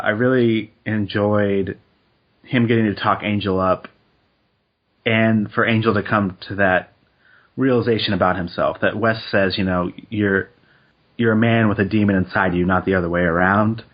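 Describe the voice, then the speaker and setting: Man, low husky voice, podcast co-host, reflective, lo-fi audio. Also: fairly even intonation. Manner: measured, reflective, hedging with uh and I think. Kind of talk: casual chat.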